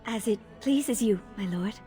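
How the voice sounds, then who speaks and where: A shy young lady-in-waiting, acted by a woman, deferential, with a medium-pitched voice. It is game dialogue over faint music. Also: a little slow, warm tone, close to an everyday voice. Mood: shy, flustered. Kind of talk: scripted game voice acting. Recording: music under the voice.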